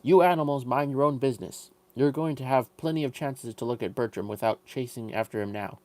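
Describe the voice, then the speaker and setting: A man's voice: medium pitch, fairly quick, a little mellow, natural voice. A man voicing a plain-spoken farmer husband from a storybook, folksy, blunt and commanding. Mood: scolding.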